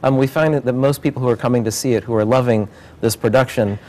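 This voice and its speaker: A man's voice: mid-range voice, steady tone, fast, a little mellow. A man speaking as a theater director in an interview, earnest and enthusiastic about his work. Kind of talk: promotional interview about a stage production.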